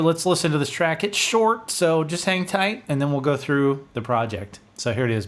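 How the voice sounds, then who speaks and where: A man in his thirties talking casually to viewers as a music producer, in an informal, rambling, self-deprecating way, close on a studio mic. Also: medium pitch. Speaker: music producer presenting own track. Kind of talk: casual video talk to viewers. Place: small home studio room, indoors. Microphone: large studio condenser microphone, close.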